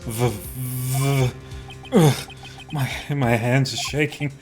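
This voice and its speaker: A man's voice: medium pitch, fairly even intonation, very slow, music in the background. A man playing an earnest, nervous young defense attorney. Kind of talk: video game fan dub acting.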